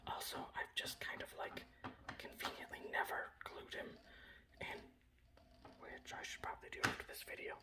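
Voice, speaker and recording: A man speaking in a breathy whisper, presenting his hobby in a casual, rambling ASMR style. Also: moderate pace. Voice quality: breathy whisper with soft low speech. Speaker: hobbyist miniature painter presenting his collection. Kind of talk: whispered ASMR show-and-tell.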